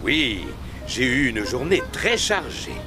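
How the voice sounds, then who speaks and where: A man voicing a jolly, proud father pig in a French cartoon dub, with a jovial, expressive manner. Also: mid-range voice, slow pace, music in the background.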